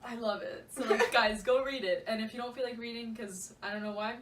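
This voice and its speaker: A woman's voice: fairly high pitch, fairly even intonation, a little fast, a bit bright. A young woman chats about books as if with a close friend, gushing and slangy, leaning hard on her words. She sounds a little distant from the microphone in an echoey room, with faint noise underneath. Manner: gushing, slangy, emphatic, playful. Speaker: book vlogger, co-host. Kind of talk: casual chatty book discussion.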